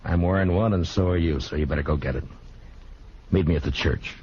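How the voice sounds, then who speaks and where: A man's voice: sits low, brisk, a little mellow, slight background noise, natural voice. An actor playing a middle-aged, world-weary lawman in an old radio Western, speaking in a terse, dry, authoritative way. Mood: dry, wry. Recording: dull vintage radio recording with music.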